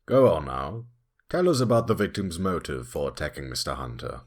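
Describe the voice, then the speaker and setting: A man's voice: rumbling low, sing-song, fairly quick, exaggerated voice. A man playing a stern, impatient judge who speaks with scolding authority in an audio drama. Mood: measured. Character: stern, commanding male judge, short of patience. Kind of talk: amateur audio drama acting.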